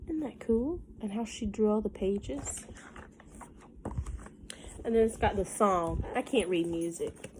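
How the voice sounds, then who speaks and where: A woman's voice: mid-range voice, a little slow, pauses often, a little mellow, slight background noise, low-quality recording. A woman with a Southern US accent, a teacher reading a picture book aloud to children in a sing-song way with folksy, casual asides.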